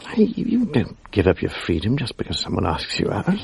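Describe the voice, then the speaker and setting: A cool, gravelly young Englishman. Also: deep voice, wildly swooping pitch, a little fast, slight background noise, full character voice. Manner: cool, matter-of-fact. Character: worldly young man, cool, self-assured. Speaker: narrator and friend. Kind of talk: 1980s radio drama acting.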